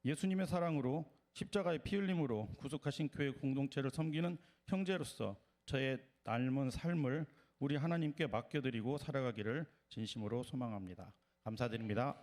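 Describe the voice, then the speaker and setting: Guy reading out a prepared church testimony, formal wording, normal mid pitch. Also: fairly quick, hardly any pauses, a little mellow. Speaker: seminary student giving a testimony.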